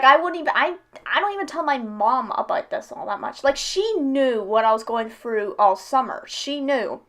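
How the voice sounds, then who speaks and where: A woman hosting a reaction video, giving casual personal commentary in a hesitant, rambling way with repeated words. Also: a little high.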